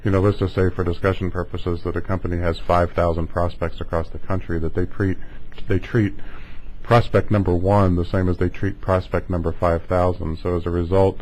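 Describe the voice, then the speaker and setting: A man hosting a conversational business interview, talking in a halting way with hedging asides. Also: deep voice, level tone, darkish tone, a little hiss or hum, poor audio. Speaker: interview host.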